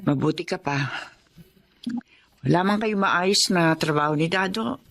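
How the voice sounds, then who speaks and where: A woman's voice: on the low side, measured pace, creaky. An actress playing a weary middle-aged Filipino mother, envious and disappointed, in radio drama style. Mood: envious, dejected. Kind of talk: Tagalog radio drama acting.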